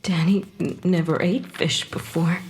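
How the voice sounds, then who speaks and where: A woman's voice: lowish voice, steady tone, a little slow. A woman playing the bitter, desperate ghost of a poisoned wife and mother in an audio drama, volatile and anguished. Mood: bitter, grieving. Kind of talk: audio fiction podcast acting.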